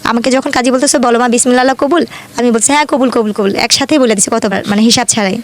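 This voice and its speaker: A woman's voice: fairly high pitch, fast. A young woman with a Bangladeshi accent, telling her own life story conversationally in detail, often quoting dialogue. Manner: detailed retelling with frequent quoted dialogue.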